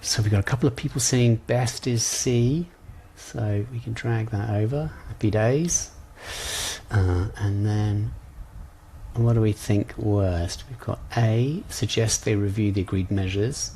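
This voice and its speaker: A man's voice: on the low side, unhurried, dark tone, a little hiss or hum. A man working as a coach trainer, leading an informal online lesson in a conversational way, often hesitating as he thinks aloud. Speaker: coach trainer leading exam preparation session.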